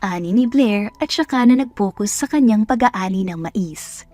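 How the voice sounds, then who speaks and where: A woman's voice: medium pitch, lively intonation, moderate pace, mellow, dry recording, faint music behind. A woman with a Filipino accent reading scripted story narration as a storyteller.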